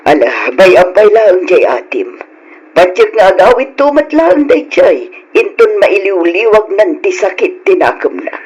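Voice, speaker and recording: A man acts a concerned Ilocano elder in a radio drama. The voice is husky and high, rising and falling freely while advising the family. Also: sing-song, a little slow, a little mellow, heavily acted, rough recording. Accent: Filipino, Ilocano. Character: concerned elder relative giving family advice.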